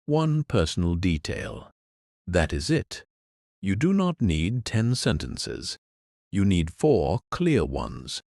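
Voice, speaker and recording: A man giving a scripted English lesson, explaining clearly and patiently in an encouraging way. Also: deep voice, darkish tone, dry recording, studio quality. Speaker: English teacher. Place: in Europe.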